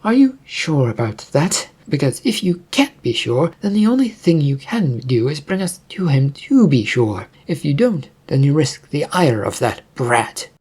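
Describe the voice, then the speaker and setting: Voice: medium pitch, very animated, measured pace, hardly any pauses, a little mellow, slightly rough. A performer voicing a bold, sly, slightly haughty female spellcaster in a fantasy story. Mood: defiant, scornful.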